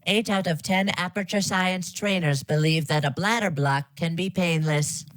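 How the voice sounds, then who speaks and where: A woman's voice: lowish voice, moderate pace, dry recording, close to an everyday voice. A woman voicing a parody of a polite, menacing female AI from a video game, with formal, detached, robotic delivery. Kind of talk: scripted parody of game announcements.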